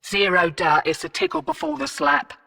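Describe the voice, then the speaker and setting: Casting: brash British mercenary operator for a game; forceful, gritty, sardonic, commanding, heard through a big distant reverb. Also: female voice, mid-range voice, big pitch swings, moderate pace, gravelly, full character voice. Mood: businesslike, urging. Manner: slangy, cheeky, commanding. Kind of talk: video game mission briefing lines.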